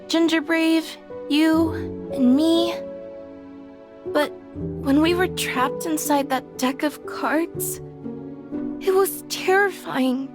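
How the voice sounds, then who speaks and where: A woman's voice: very high-pitched, heavily acted. A woman voicing an earnest, sensitive young girl adventurer who speaks openly and emotively in a video game.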